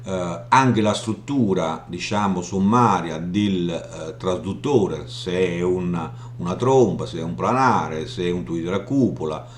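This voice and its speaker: A man giving an informal software walkthrough, explaining haltingly and often checking in as he goes. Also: deep voice. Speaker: software tutorial presenter. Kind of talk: informal screen demo walkthrough. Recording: roomy echo, audible background hum noise.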